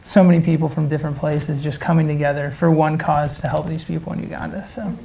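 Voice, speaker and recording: A woman's voice: deep voice, fairly even intonation, fast talker, creaky, mellow, low-quality recording. A woman giving casual, enthusiastic interview answers as a member of a charity team.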